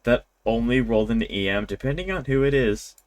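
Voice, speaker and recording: A man's voice: medium pitch, expressive, fairly quick, dry recording. A man chatting casually as a game streamer, rambling in a conversational way. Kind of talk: casual livestream chat. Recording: game music behind voice. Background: video game music.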